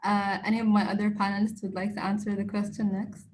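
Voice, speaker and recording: Brisk woman moderating a panel. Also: mid-range voice, little pitch movement, darkish tone. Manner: brisk, appreciative.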